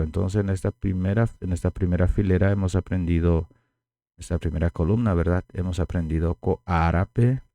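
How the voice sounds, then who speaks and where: Man, Guarani native, language teacher, dark voice, dry close sound, repeats words slowly. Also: deep voice, dry recording. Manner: slow, repeats words for learners to copy. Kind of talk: language lesson.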